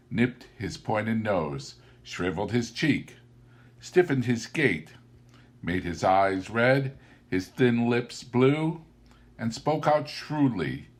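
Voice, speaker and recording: A man reading a classic story aloud as a narrator, with deliberate, theatrical and emphatic phrasing. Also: lowish voice. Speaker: audiobook narrator.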